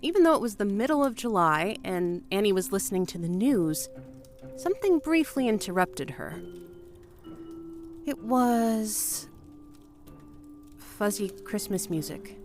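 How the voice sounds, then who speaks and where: A woman's voice: medium pitch, lively intonation, a little slow, pauses often, a little mellow, lightly acted. A woman acting in an audio drama as a terrified investigator who anxiously stammers her way through a story. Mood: wry, tense storytelling. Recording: music behind the voice.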